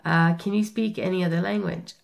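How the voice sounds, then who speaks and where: A woman's voice: lowish voice, fairly even intonation, fairly quick, vocal fry. A middle-aged woman whose first language is Italian, chatting casually and rambling through a tag video while sick, recorded on a webcam in a small room. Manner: chatty, rambling, self-correcting. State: sick, rough voice. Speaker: vlogger.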